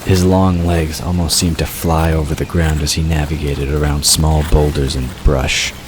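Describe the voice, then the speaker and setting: A man's voice: very deep voice, flat delivery, normal speed, slight background noise. A man reading scripted fiction narration as an audiobook narrator, in a storytelling style. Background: outdoor ambience and water sound effects.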